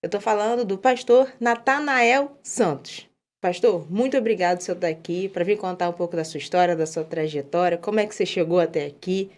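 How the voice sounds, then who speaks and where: A woman hosting a podcast, chatty and lively, asking her guest questions. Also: on the low side, fairly quick. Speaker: podcast host. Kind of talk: casual interview.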